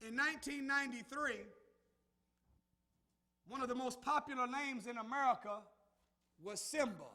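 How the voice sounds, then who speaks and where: A man preaching a sermon to a congregation, calling out to them and building to shouts. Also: very high voice, normal speed. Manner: call-and-response preaching, rising to shouts. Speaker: minister.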